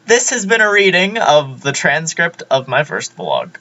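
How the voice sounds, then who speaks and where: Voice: mid-range voice, wildly swooping pitch, unhurried, sharp tone, highs cut off. A young adult vlogger reading a garbled transcript aloud to camera.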